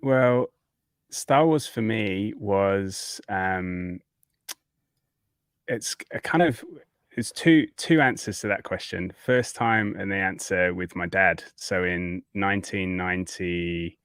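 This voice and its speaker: Deep-voiced Yorkshire-Australian man. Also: slow pace, a bit bright, smooth, no echo, clean studio sound. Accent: Yorkshire mixed with Australian. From England. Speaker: guest collector. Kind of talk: casual podcast chat.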